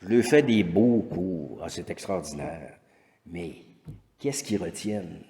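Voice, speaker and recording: A man's voice: low voice, expressive, takes it slowly, warm tone. A middle-aged man with a Québécois French accent gives a lecture as a teacher, rambling with frequent restarts and fillers. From Quebec. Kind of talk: conference lecture. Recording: echoey hall with long reverb.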